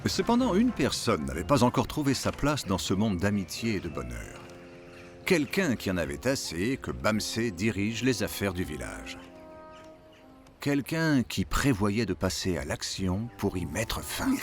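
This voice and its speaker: A man narrating a children's cartoon as an expressive, dramatic storyteller. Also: fairly low pitch, a little slow, faint music behind.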